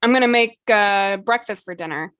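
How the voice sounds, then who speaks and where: A woman's voice: medium pitch, normal speed, crowd noise. A woman co-hosting a podcast, explaining things in an informal, chatty way with lots of casual asides. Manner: chatty, informal, peppers talk with "like" and "you know". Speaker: podcast co-host.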